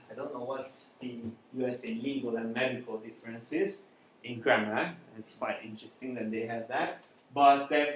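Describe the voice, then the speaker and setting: A man whose native language is Hungarian, teaching a live software class, explaining loosely with many fillers and restarts. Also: medium pitch. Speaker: software trainer. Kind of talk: live screencast class with an audience.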